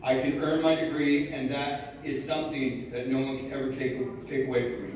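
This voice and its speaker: Nervous man, student speaker at a gala, hesitant and halting, mic distant in a very echoey hall with faint hum. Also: medium pitch, steady tone, darkish tone. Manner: hesitant with many fillers, reading aloud. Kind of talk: nervous prepared speech. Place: large event hall.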